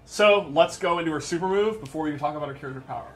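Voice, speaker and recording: Higher-pitched man giving enthusiastic game commentary. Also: rapid-fire. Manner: enthusiastic, explanatory, emphatic. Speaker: presenter demonstrating gameplay. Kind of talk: casual unscripted game commentary.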